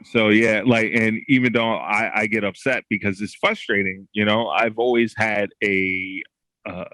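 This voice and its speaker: A man guesting on a podcast, speaking haltingly with many restarts and filler words. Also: lowish voice, steady tone, bright, forceful tone, no room sound. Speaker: podcast guest, comic creator. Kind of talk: casual interview chat.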